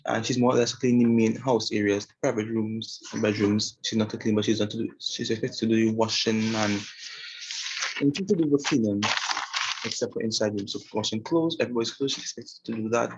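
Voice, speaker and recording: A man's voice: on the low side, brisk, noisy background. A young Trinidadian man giving an informal talk about a woman he interviewed, speaking hesitantly with many fillers and restarts. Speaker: student presenter. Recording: muffled, lo-fi sound.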